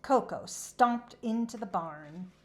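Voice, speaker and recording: A woman storyteller reads a children's book aloud. Her intonation is animated and expressive, and she takes her time over the narration. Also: mid-range voice, takes it slowly.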